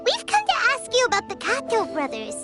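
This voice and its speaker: A woman's voice: wildly swooping pitch, fairly quick, full character voice. A woman voicing a tiny, eager, childlike floating companion creature in a video game. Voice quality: very high, childlike. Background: game music.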